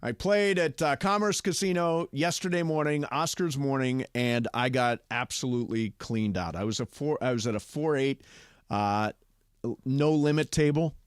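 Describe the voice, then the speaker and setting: A man's voice: mid-range voice, moderate pace, smooth, dry recording. Chatty radio host man, dry studio sound. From Ohio. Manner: chatty, jokey, slides into ad reads. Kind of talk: casual radio chat and live read.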